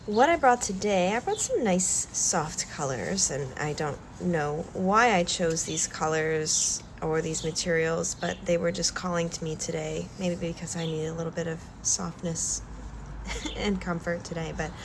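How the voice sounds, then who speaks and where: A woman speaks in a low-pitched, slow, soft-spoken way, with the slightly stuffy nose of a cold. She talks hesitantly with many pauses and fillers, like a calm art vlogger showing her work. Steady background noise sits behind her voice. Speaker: collage artist vlogger. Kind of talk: calm art-demonstration vlog.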